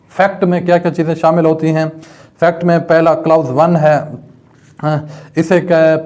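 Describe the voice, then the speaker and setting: A male teacher with a Pakistani accent gives a didactic lecture in a fairly high voice. His delivery is very slow and deliberate. He moves between reading and explaining, setting up questions that he answers himself. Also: a little mellow. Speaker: law teacher. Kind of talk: lecture, reading clauses aloud and explaining them.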